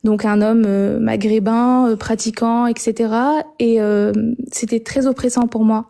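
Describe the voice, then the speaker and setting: A young woman from Algeria calling in to share her story, talking hesitantly with many pauses and restarts. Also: fairly high pitch, steady tone, mellow. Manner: hesitant, frequent filler sounds and restarts. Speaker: caller. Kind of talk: personal testimony on a call-in show.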